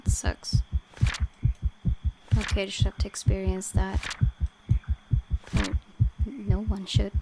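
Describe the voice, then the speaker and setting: A woman's voice: medium pitch, flat delivery, slow, pauses often, very noisy. A woman playing a visual novel, reading its text aloud and adding casual reactions. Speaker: game streamer. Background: game music.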